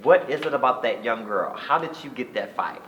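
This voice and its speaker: A man's voice: medium pitch, expressive, a little fast, fairly bright tone, a little hiss or hum. A man hosting an interview, playful and affirming, prompting his guest with lots of encouraging backchannel. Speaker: interviewer host. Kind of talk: casual interview. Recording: echoey room.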